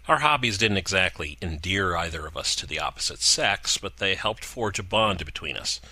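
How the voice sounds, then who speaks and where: A man narrating a horror story in a slow, deliberate way with dramatic pauses. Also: sits low, sharp tone, no room sound.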